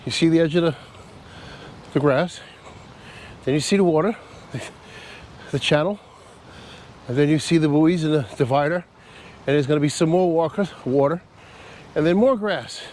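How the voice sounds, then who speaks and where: Guy vlogging outdoors with a stuffy nose, kinda high voice, rambles, faint traffic behind. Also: moderate pace, long pauses, a little mellow. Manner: chatty, rambling, laughs at himself. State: nasal from a cold. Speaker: travel vlogger. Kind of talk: casual walking vlog. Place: outdoor park by a causeway bridge.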